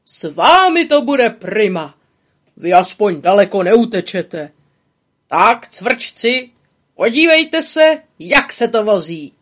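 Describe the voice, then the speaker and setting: Bossy, show-off little male bug from a storybook, swinging pitch; woman reader doing it. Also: very animated. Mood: teasing. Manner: brash, teasing, showy.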